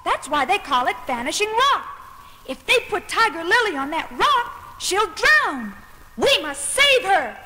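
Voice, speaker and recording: A woman plays a brave young boy adventurer with a very high, animated voice and an earnest manner. The children's story recording is reverberant, with noticeable noise. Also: measured pace, heavily acted. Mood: alarmed. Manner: urgent, earnest. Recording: reverberant with clear background noise.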